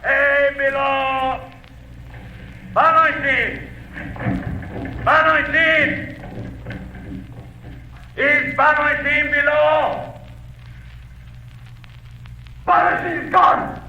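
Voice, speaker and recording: Shrill, panicked sailor hollering. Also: male voice, level tone, pushed and bright, lo-fi sound, full character voice. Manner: hollering, drawn-out calls. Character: panicked male sailor hollering alarm aboard ship. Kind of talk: 1930s radio drama acting. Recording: echoey, noisy old radio recording.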